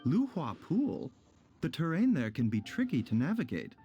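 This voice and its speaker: A composed, polite doctor from a video game. His tone is mellow and warm, a little higher than most men's, with an expressive range. He sounds calm and caring. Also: normal speed, lo-fi sound, soft background music. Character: calm, gentle male doctor.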